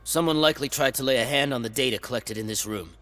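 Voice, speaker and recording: An actor playing a gruff, irritable security guard in a video game, blunt and grumbling with a sarcastic streak. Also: male voice, mid-range voice, fast talker, a bit bright, lightly acted. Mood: businesslike.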